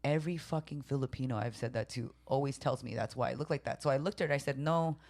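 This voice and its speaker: A woman's voice: rumbling low, fast talker. A woman raised in San Jose, chatting casually on a podcast, blunt and swearing freely. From raised in San Jose, California. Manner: blunt and swearing, tells stories with lively quoted voices. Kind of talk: casual chat.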